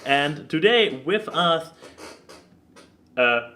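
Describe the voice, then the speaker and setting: A man hosting a casual podcast chat, speaking haltingly and often stammering and repeating words. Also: a little high, lively intonation, bright, forceful tone. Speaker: podcast host.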